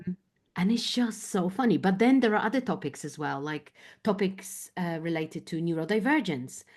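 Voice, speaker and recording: A woman from Poland whose first language is Polish, a guest talking reflectively in an interview and often pausing to find her words. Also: lowish voice, expressive, a little mellow. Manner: thoughtful, searching for words, many fillers. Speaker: guest, business coach.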